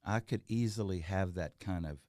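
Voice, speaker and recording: A middle-aged man speaks in a very low, deep voice. He talks earnestly and rambles, with many fillers and restarts. The sound is dry and close. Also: moderate pace, a little mellow, dry recording. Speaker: guest, entrepreneur. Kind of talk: casual interview chat.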